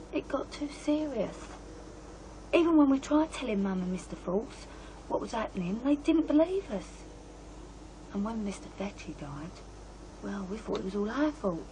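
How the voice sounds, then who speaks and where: A woman's voice: medium pitch, natural voice. An actress playing an aggrieved British woman in her twenties, confiding and colloquial, in a radio drama. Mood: guilty, regretful. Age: 20s. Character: bitter, trapped young British woman of twenty-three. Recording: noisy radio play scene with ambience.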